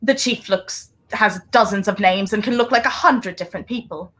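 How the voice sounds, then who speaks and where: A woman playing a mysterious, hunted secret agent in a 1930s radio play, intense and secretive, with deliberate theatrical delivery. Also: mid-range voice, a bit bright, close to an everyday voice. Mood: grave, warning.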